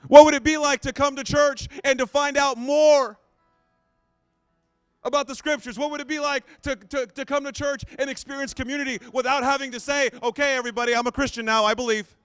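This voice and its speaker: A man's voice: very high voice, fairly bright tone, smooth, dry recording. A man in his late thirties preaching in a conversational, self-deprecating way, often repeating his phrases. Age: 30s. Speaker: pastor. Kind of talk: sermon.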